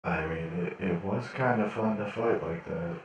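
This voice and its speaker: Man, deep voice, heavy echo, distant from mic, hesitant game roleplayer. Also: normal speed, highs cut off. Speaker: roleplayer acting as a cyborg prisoner. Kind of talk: improvised in-character roleplay chat in an online game. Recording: very echoey, distant voice chat.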